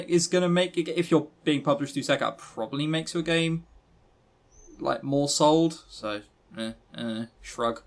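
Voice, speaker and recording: A man's voice: fairly high pitch, vocal fry. A British man chatting casually as a podcast co-host, with a sarcastic, teasing manner. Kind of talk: casual chat about games.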